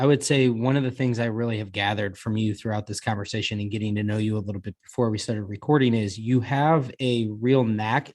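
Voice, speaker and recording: A man's voice: medium pitch, darkish tone. A male podcast host with a mid-range voice, talking to his guest in an easygoing, reflective way. He strings his thoughts together loosely, with asides and qualifiers. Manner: reflective, complimentary, loosely worded. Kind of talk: conversational podcast interview.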